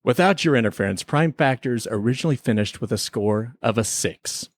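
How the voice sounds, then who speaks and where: A man's voice: mid-range voice, expressive, fairly quick, no room sound. A man hosting a podcast, bantering in a teasing, mock-formal courtroom style. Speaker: podcast host. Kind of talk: semi-scripted mock-tribunal podcast banter.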